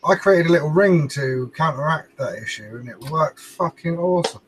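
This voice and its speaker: A man's voice: a little high, animated, normal speed, husky, rough recording. A man chatting informally and bluntly as a panellist on a talk show. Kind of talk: casual chat.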